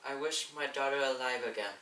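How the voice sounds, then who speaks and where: A woman's voice: extremely low pitch, fairly quick. A young woman in an amateur stage play acting a cautious, skeptical parent, shaken and reluctant. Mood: reluctant, dismayed. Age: young adult. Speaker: student actor. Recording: noisy echoey room from far off.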